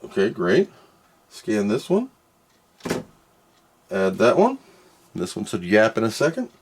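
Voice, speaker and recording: A man's voice: lowish voice, wildly swooping pitch, takes it slowly, slight background noise. A man doing casual hands-on product commentary, muttering to himself as he works and reading out screens. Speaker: product reviewer doing an unboxing and test.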